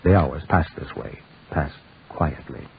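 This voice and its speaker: An actor playing an ominous storyteller, narrating a gothic 1940s radio horror drama. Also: male voice, extremely low pitch, unhurried, breathy edge, heavily acted. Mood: hushed, foreboding. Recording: noisy, tinny 1940s radio recording.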